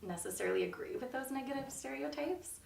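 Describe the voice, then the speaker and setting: A woman's voice: high-pitched, animated, fast, vocal fry, echoey room, far from the mic, slight background noise. A woman in her twenties answering interview questions thoughtfully, with many fillers. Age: 20s. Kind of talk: church video interview.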